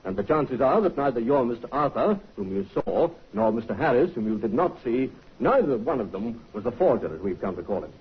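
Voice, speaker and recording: A man's voice: medium pitch, sing-song, a little fast, few pauses, breathy edge. An actor playing a stern, shrewd male police inspector in 1950s radio acting, dry and clipped. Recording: muffled vintage radio recording.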